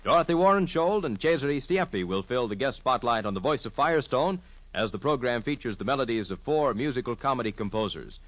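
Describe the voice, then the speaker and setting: An American man announces a vintage radio promo in a formal, polished way. His mid-pitched voice has lively swings, recorded very dry with a slightly dull old sound. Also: fairly quick, dry recording. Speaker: network announcer. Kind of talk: 1950s scripted radio promo.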